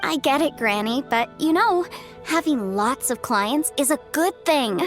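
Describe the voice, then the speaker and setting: A woman's voice: high voice, expressive, a little slow. A woman voicing a clever young legal adviser in a game, breezy and playful as she justifies herself. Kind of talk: game voice acting. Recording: studio voice with faint background music.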